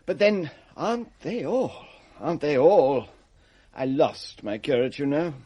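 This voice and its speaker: An actor playing a fussy, eccentric English vicar in a radio play, apologetic and rambling, repeating himself in a flustered way. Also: male voice, fairly high pitch, very animated, measured pace, dark tone. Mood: doleful, rambling. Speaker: parish vicar.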